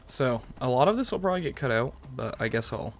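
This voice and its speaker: A man's voice: mid-range voice, lively intonation, fast, slight background noise. A young American man giving casual, rambling let's-play commentary as the host of a gaming channel. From New York, United States. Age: young adult. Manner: rambling, self-qualifying.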